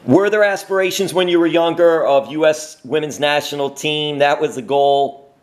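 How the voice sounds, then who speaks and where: A man hosts an interview and asks his questions with plain enthusiasm. His voice sits a bit higher than most men's. His delivery is lively and upbeat. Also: moderate pace. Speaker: interview host. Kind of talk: casual interview.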